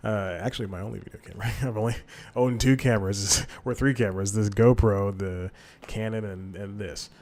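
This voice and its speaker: A man's voice: fairly low pitch, fairly even intonation, brisk, few pauses, darkish tone. A young man hosting a casual solo podcast, rambling with many fillers and self-corrections into a desk microphone. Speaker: podcast host.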